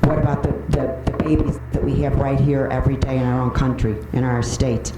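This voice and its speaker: A woman's voice: a bass voice, flat delivery, fairly quick. A woman giving a prepared public comment at a meeting, speaking in an earnest, pointed way. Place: indoor public meeting room. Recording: roomy, noisy, distant microphone with clicks.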